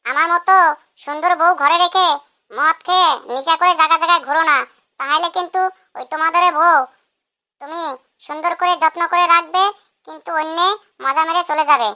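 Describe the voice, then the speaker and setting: A performer voicing a grumbling, pestering drunkard husband in a comic cartoon, whining through a raised-pitch effect. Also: fairly even intonation, brisk, pauses often, bright, forceful tone, full character voice. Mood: resigned.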